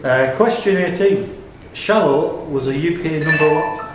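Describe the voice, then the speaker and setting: A man's voice: a little high, unhurried. Echoey male pub quiz question reader. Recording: very echoey room with background noise.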